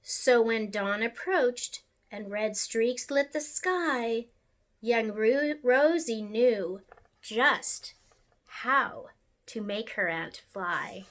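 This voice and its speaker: A woman reading a rhyming children's picture book aloud in an expressive, sing-song way. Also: fairly high pitch, drawn-out words. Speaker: storyteller.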